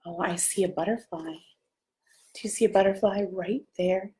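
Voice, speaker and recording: An American woman, a pastor, reading a children's story aloud with gentle, simple asides and questions for young listeners. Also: medium pitch, moderate pace. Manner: gentle, simple questions addressed to small children, often hushed.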